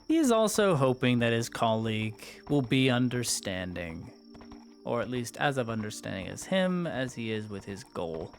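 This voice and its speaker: A man's voice: mid-range voice, sing-song, moderate pace. A man streaming, giving casual, wry out-of-character commentary on his roleplay. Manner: amused, wry asides.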